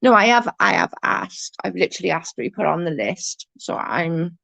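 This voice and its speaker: A woman with a stutter, chatting casually on a livestream as she reads out viewers' comments, halting and restarting her sentences. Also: lowish voice, sing-song, gravelly, a bit bright, no echo. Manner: rambling, halting, often restarts and repeats words. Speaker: livestream host reading chat.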